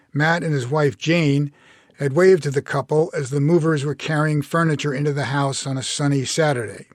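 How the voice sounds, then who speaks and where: Male author narrator, slightly high register, close dry recording, scripted prose. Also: no room sound. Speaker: author reading own story. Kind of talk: scripted short story narration.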